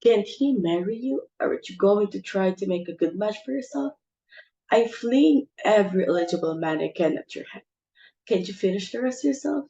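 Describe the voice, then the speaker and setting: A woman's voice: medium pitch, animated, moderate pace, mellow, highs cut off, close to an everyday voice. A woman playing a bossy, social-climbing society mother with theatrical exclamations in an amateur stage comedy reading. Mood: indignant.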